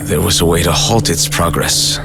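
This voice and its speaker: An actor playing a haunted amnesiac man, narrating fragmented memories tersely and gravely in a game flashback. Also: low voice, normal speed. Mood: brooding. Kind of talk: slow brooding scripted flashback narration. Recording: dark cinematic music behind. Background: ominous music.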